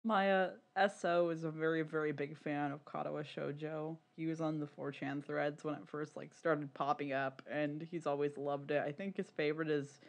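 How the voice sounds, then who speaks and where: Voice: mid-range voice, flat delivery, moderate pace, few pauses. A podcast co-host chatting casually in a rambling, candid, giggly way.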